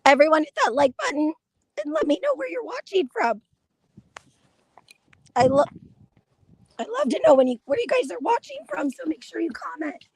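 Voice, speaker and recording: A hoarse woman with a high, lively voice hosts a casual live stream. She chats in a confiding way and keeps stopping to clear her throat. The sound is dry and close. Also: normal speed, pauses often, no echo, poor audio. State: hoarse from laryngitis. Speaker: gossip channel host.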